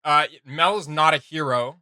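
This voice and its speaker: A man chats casually as he reacts to game clips, thinking aloud and often restarting his sentences. His voice is very bright and a touch high for a man, recorded dry and close. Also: a little slow, clean tone, no echo. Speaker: reaction video host.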